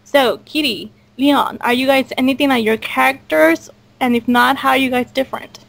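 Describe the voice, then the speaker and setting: Female host for an informal online cast interview; chatty, voice on the higher side. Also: moderate pace, gravelly, fairly bright tone. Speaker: host and audio drama creator. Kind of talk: casual online group interview.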